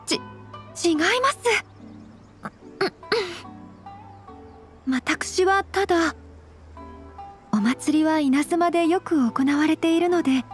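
A refined young noblewoman speaks in a high voice with careful honorific politeness. She is earnest and modest, measuring each phrase gently, as in a Japanese anime game. Soft music plays beneath her. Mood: composed, slightly flustered. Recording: music under the voice.

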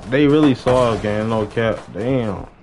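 A man's voice: mid-range voice, takes it slowly. A young man chatting casually on a gaming livestream in slangy, profane street talk. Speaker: game livestreamer. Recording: dull lo-fi mic with noise.

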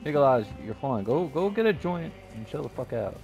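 A man's voice: medium pitch, sing-song, fairly quick, vocal fry, darkish tone, soft background music, low-quality recording. A man in casual in-character game roleplay, talking in a blunt, laid-back way.

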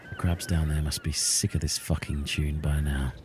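A man delivering deadpan lines of comic dialogue. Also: very deep voice, normal speed, noisy background. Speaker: comedy podcast cast member.

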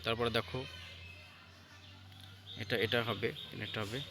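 A man giving an informal lesson as an exam tutor, halting and repeating himself as he checks in with listeners. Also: lowish voice, steady tone, loud music behind the voice. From India. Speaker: exam tutor presenting answer keys.

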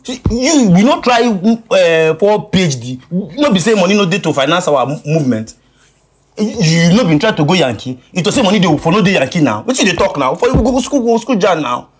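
A Nigerian actor playing a talkative, scoffing friend who exclaims and repeats words for emphasis in film dialogue. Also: male voice, sits high, very animated, a little fast, husky, exaggerated voice. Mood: animated scoffing. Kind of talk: comedic film dialogue in Pidgin.

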